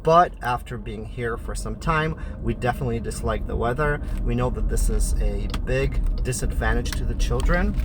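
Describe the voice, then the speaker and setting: A man with a Polish accent, Polish being his first language, talks straight to the camera in a plain, direct manner and often stops on "uh". His pitch sits at an ordinary middle height for a man, and a faint hum of a vehicle runs underneath. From Poland. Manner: direct, frequent "uh" fillers. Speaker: family travel vlogger. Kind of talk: casual explainer vlog.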